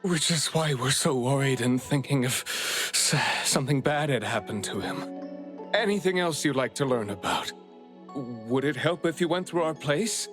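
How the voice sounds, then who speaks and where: Man voicing a worried father, mid-pitched, anxious, earnest, polite, game cutscene, music underneath. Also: lively intonation, moderate pace, close to an everyday voice. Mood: polite, pleading. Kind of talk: video game cutscene voice acting.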